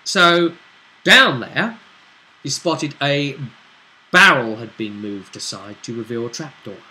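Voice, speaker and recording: A man's voice: mid-range voice, animated, slow pace, bright, forceful tone, rough recording. A man hosting a casual recap of a tabletop roleplaying session, telling it in a conversational storytelling way. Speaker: host and game master recapping a tabletop session.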